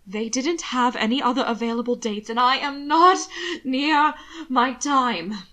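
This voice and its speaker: A strained, short-tempered young lady in labor from a storybook, high, loud and sharp, as a woman reading aloud puts her on. Her words come out pinched with fear and pain. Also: moderate pace. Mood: irritable.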